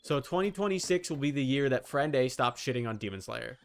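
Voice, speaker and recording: A man's voice: medium pitch, animated, fairly quick, gravelly, slight background noise. A man livestreaming a game, chatting informally and teasingly with his viewers and swearing casually. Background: background music.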